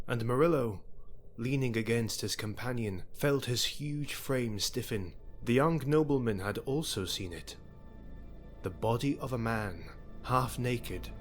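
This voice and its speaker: A man narrating a scripted fantasy story with measured, dramatic phrasing. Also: mid-range voice.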